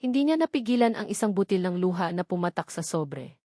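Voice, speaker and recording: A woman's voice: on the low side, lively intonation, a little fast, mellow, no room sound, clean studio sound. A woman reading scripted, dramatic narration of a love story as the storyteller.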